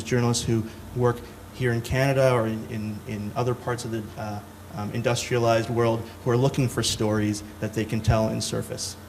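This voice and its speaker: Halting, gravelly low-voiced man presenting. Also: darkish tone, a little hiss or hum, poor audio. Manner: halting, hesitant delivery. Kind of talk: live presentation.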